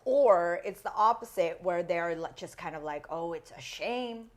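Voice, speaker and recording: A woman's voice: fairly low pitch, expressive, a little slow. A woman hosting a casual chat, informal, reactive and supportive in how she talks. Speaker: interviewer, host.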